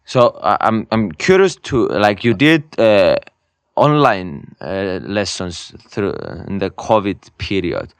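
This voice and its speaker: A young man hosts a casual podcast. His voice is lowish and clear, with a dry, close sound, and he keeps probing with follow-up questions. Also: very animated, takes it slowly, no room sound. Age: young adult. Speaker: podcast host. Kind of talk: casual interview.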